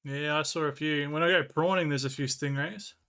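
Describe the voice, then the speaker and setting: A man's voice: on the high side, fairly even intonation, brisk, sharp tone. An Australian man streaming live, rambling casually as he reads and answers his chat and swears freely.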